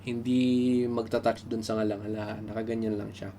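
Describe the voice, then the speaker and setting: A man's voice: fairly low pitch, level tone, brisk, dark tone, slight background noise. A man with a Filipino accent hosting a casual tutorial, explaining informally step by step. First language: Tagalog. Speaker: beatbox tutorial host. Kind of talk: casual instructional vlog in Taglish.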